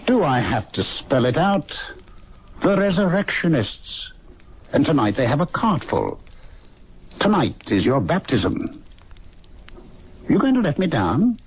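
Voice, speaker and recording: An actor playing a worldly, commanding senior medical student with urbane, clipped speech in an old-time radio drama. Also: male voice, fairly high pitch, big pitch swings, a little slow, pauses often, slightly rough, heavily acted. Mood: urgent, bossy.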